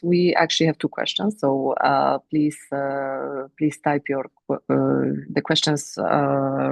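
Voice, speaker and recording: A woman with a Romanian accent presenting company sales figures on an earnings call, measured and pausing often with fillers. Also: low-pitched, creaky, a little mellow, dry recording. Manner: measured, with frequent filler pauses. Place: remote teleconference.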